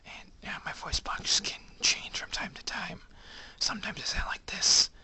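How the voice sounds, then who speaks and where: A man voicing a secretive male animatronic bear who talks only in a breathy whisper. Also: high-pitched, measured pace, sharp tone, full character voice. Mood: hushed.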